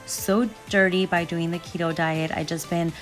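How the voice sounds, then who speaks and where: A woman's voice: lowish voice, steady tone, normal speed, faint music behind. A young woman with a stuffy, sniffly cold, chatting upbeat to viewers while cleaning her home kitchen. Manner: upbeat, chatty, laughs between phrases. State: stuffy and sniffly with a sore throat. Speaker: cleaning vlogger, mother.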